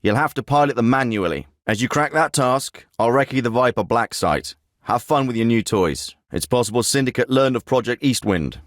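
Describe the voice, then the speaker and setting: Actor voicing a terse swearing soldier. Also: male voice, medium pitch, lively intonation, moderate pace, no room sound, natural voice. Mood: businesslike. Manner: terse, profane and matter-of-fact. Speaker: military team leader. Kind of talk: video game voice acting. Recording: dry studio recording.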